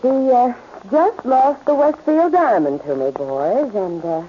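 A muffled old radio recording of a shrewd lady who runs a gambling house. She is poised and commanding, her polite delivery moving widely in pitch, in period radio drama style. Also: lively intonation, measured pace, a little hiss or hum. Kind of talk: 1940s radio acting.

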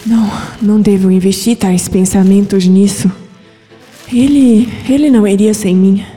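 A woman's voice: mid-range voice, moderate pace, dark tone, long reverb tail, soft background music, natural voice. A woman playing a fearful, lovestruck fugitive from olden times with a Brazilian accent, speaking formally and breathily in a period audio drama. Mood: hopeful, anxious.

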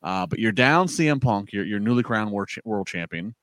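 A man's voice: on the low side, lively intonation, brisk, fairly bright tone, no echo, clean studio sound. A man hosting a casual wrestling news podcast, rambling and correcting himself as he goes. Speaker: podcast host.

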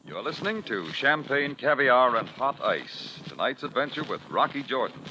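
A man's voice: medium pitch, sing-song, normal speed. A man announcing on 1940s radio, reading a scripted program promo. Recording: noisy old radio broadcast.

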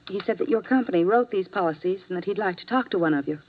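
An actress playing an earnest, worried young nurse in a 1950s radio drama, speaking politely and properly with an anxious edge. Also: female voice, medium pitch, quick pace, no room sound, natural voice. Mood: anxious, urgent. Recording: dull, dry 1950s radio recording.

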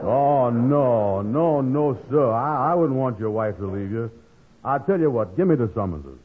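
An actor playing a gullible, soft-hearted, slow-witted man who talks in folksy ungrammatical dialect, in 1940s radio comedy style. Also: mid-range voice, animated, noisy background, natural voice. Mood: sympathetic. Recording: muffled, tinny vintage radio broadcast.

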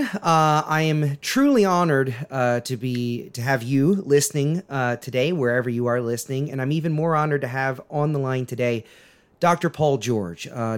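Earnest male podcast host, mid-pitched. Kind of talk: history podcast hosting.

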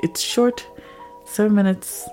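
A young woman with a raspy, slightly hoarse voice from a cold, chatting casually to her listeners as a podcast host, rambling with lots of fillers. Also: mid-range voice, steady tone, slow, a little mellow, soft background music.

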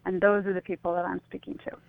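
A woman's voice: fairly low pitch, little pitch movement, fast, creaky, no echo. A woman speaking as an interview guest over a phone line, conversational and reflective. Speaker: interview guest, healing advocate. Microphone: phone call. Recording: narrow, tinny phone line.

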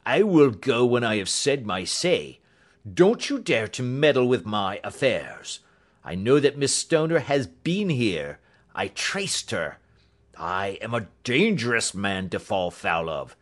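A man's voice: medium pitch, a little slow, hardly any pauses, bright, forceful tone, voice pushed up. A man voicing a huge, furious old country doctor, a snarling and threatening villain in a storybook reading. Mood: furious, menacing.

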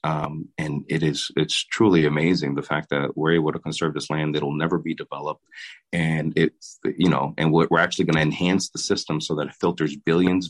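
Very deep-voiced young man, halting. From Dominican Republic. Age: young adult. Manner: halting, with many restarts and repeated words. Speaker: mayor and city commissioner. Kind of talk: casual interview answers.

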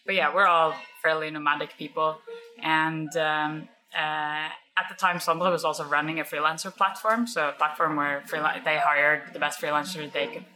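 A woman's voice: deep voice, level tone, moderate pace, sharp tone, poor audio. A woman from Norway, a startup founder, talking casually as a podcast guest with many fillers and restarts. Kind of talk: casual interview talk.

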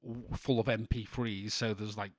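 A man from in England co-hosting a podcast in casual chat, with a monotone voice and a rambling way of talking full of restarts. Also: lowish voice, moderate pace, no echo. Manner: rambling, many restarts and fillers. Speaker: podcast co-host.

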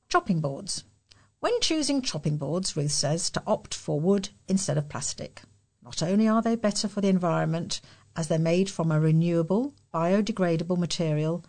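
A British woman reads a printed article aloud as a volunteer reader. Her voice sits a little lower than most women's, and the delivery is plainly scripted. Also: a little mellow. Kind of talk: scripted reading of lifestyle article.

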